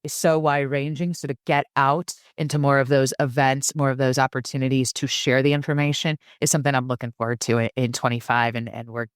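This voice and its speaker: A woman's voice: very deep voice, level tone, brisk, hardly any pauses, clear, resonant tone, no room sound, clean studio sound. A woman who grew up in San Francisco and Germany, a podcast guest talking casually and enthusiastically, often repeating words as she starts a phrase. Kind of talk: casual interview talk.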